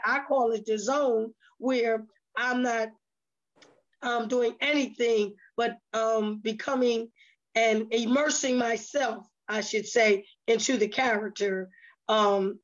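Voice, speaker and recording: Slightly muffled, lo-fi audio carries a woman with a fairly high voice. She is a casual interview guest who builds her points in repeated, listed phrases. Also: fairly even intonation, takes it slowly. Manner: emphatic repetition in lists. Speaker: stage play cast member, interview guest.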